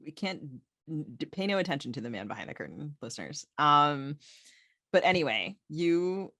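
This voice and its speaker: A woman's voice: low voice, very animated, normal speed, a bit bright, no room sound, clean studio sound. An American woman co-hosting a podcast in casual, opinionated banter with a wry, informal manner. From United States. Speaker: podcast co-host.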